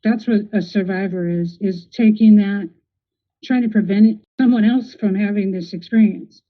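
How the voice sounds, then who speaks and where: A woman's voice: medium pitch, fairly even intonation, normal speed. A woman hosting a podcast, interviewing casually with supportive affirmations. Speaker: podcast host. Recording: tinny phone-like audio.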